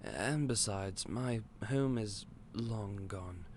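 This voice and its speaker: A man playing an eccentric, otherworldly candy store owner in an audio roleplay, polite and gentle but a little scatterbrained. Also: fairly low pitch, slow pace, a little hiss or hum. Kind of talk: scripted audio roleplay to the listener.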